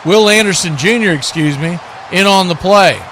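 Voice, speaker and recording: Guy doing live football play by play, high voice, bit of noise behind him. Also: moderate pace, a bit bright. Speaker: play-by-play sportscaster.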